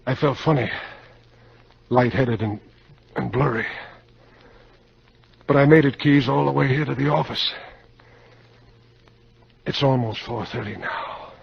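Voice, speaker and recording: A man's voice: medium pitch, vocal fry. An American actor playing a cynical, guilt-ridden salesman turned killer in a 1950s radio drama, clipped and hardboiled. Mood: weary, fading. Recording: dull, noisy 1950s radio recording.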